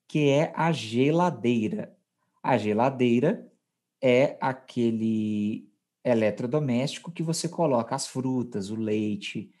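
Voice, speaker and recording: A man's voice: medium pitch, dark tone, husky. A Brazilian man hosting a podcast language lesson, explaining conversationally and checking in with his co-host. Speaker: podcast host teaching Portuguese.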